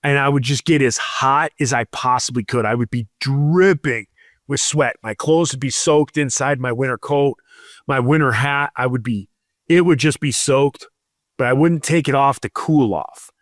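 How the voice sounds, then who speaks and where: A man's voice: mid-range voice, moderate pace, no room sound, clean studio sound. A man in his thirties, a podcast co-host, telling a rambling story in casual chat with lots of fillers.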